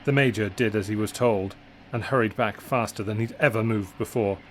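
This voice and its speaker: A man's voice: on the low side, normal speed, rough recording. Dramatic male story narrator over engine noise.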